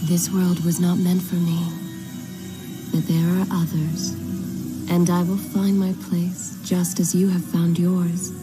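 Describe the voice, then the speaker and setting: An actress voicing a serene, otherworldly female guardian in a video game, gentle, measured and solemn. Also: on the low side, little pitch movement. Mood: serene farewell. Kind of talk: dramatic video game acting. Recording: music clearly under the voice.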